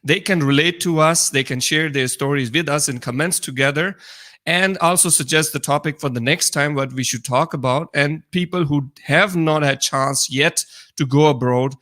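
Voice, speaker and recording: A man with a Pakistani accent speaks as a podcast co-host in a fairly high, very bright voice. English is his second language, and his conversational delivery is halting, with words often restarted. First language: not English. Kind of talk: conversational podcast talk about immigrant experiences.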